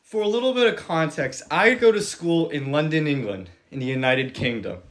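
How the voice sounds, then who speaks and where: A man's voice: a little high, animated, moderate pace, fairly bright tone, a little hiss or hum. A young man, a college student, telling a casual story in an incredulous, mocking way. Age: young adult. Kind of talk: casual anecdote.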